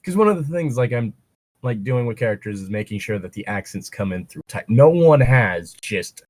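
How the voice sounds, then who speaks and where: A man with a fairly low voice, recorded close and dry. He talks casually and ramblingly, like someone sharing side thoughts during a read-aloud with a friend, stopping to comment or curse. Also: lively intonation, moderate pace, a little mellow, no room sound. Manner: rambling, breaks off to comment and swear. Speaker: co-host reading fan fiction aloud. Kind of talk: casual chat while reading fan fiction aloud.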